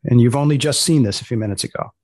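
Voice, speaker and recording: A man hosting a humorous science podcast, prompting his guest in a terse, deadpan way. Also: medium pitch, quick pace. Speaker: podcast host and magazine editor.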